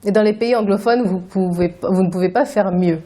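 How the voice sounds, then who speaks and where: Woman, TV interviewer, probing, persistent follow-ups, mellow tone. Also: medium pitch, fairly quick. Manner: probing, presses for answers. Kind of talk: TV news interview.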